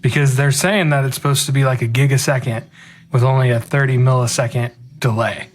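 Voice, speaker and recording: A man with an average-pitched voice chats with his co-host on a podcast. He talks in an easygoing, loose way and fills his pauses with "um" and "like.". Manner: relaxed, easygoing, lots of "um" and "like". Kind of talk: casual chat.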